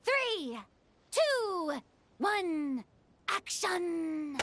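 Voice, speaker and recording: Young female director for anime game: exacting yet effusive, high-pitched, swooping delivery. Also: sing-song, extremely slow, fairly bright tone, a little hiss or hum, full character voice. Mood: directing, eager. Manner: dramatic, effusive. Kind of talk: anime-style video game voice acting.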